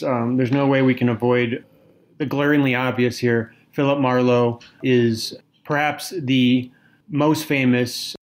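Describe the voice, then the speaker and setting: A man with a mid-range voice co-hosts a casual book podcast. He talks in a thoughtful, unhurried way, stopping now and then to pick his words. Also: mid-range voice. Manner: thoughtful, reads quotes aloud. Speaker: podcast co-host. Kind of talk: casual literary discussion.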